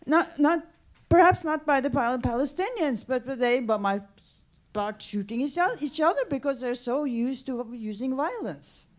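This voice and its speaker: A woman with a fairly high voice speaks up from the audience at a public talk. She phrases her question spontaneously, halting and restarting as she searches for words. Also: lively intonation. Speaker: audience member asking a question.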